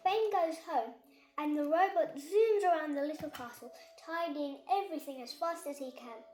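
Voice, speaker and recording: A woman's voice: sits high, normal speed, a little mellow. A young girl, about six, reading a children's story aloud with careful, sometimes stumbling delivery. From Kerala, India. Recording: echoey room.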